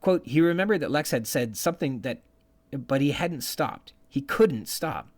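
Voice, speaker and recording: Male podcast host, medium pitch with very animated intonation, bookish, reading analytical notes and quotations. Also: normal speed. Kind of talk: literary commentary reading from prepared notes, quoting passages.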